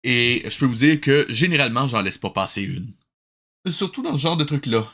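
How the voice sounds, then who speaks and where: Bright, forceful male livestream host. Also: medium pitch, lively intonation, moderate pace. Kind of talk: casual livestream reaction commentary.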